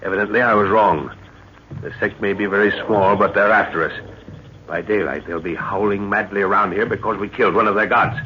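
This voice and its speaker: An actor playing a seasoned expedition leader and father in an old radio drama, speaking with deliberate authority. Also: lowish voice, steady tone, natural voice. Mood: urgent, commanding. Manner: authoritative, deliberate, thoughtful hums. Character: seasoned, commanding expedition leader and father, middle-aged or older. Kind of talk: 1940s radio acting. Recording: noisy, dull old radio recording.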